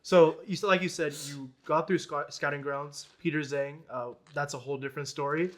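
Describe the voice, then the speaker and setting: Young man, podcast host, brisk pace, slightly high voice, easygoing questions. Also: steady tone. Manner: easygoing, quick, agreeable backchannels. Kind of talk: casual interview hosting.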